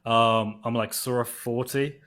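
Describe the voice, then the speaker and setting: A man's voice: medium pitch, steady tone, measured pace, a bit bright. A man who is a native English speaker, chatting casually in a discussion and thinking aloud with loose fillers.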